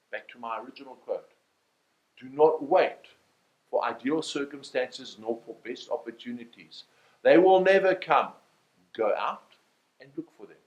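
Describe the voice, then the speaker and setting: Animated South African man, echoey room. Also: fairly high pitch. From South Africa, Cape Town. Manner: theatrical, emphatic, rhetorical questions. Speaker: motivational keynote speaker. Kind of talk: animated storytelling sales talk. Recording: roomy, echoey sound.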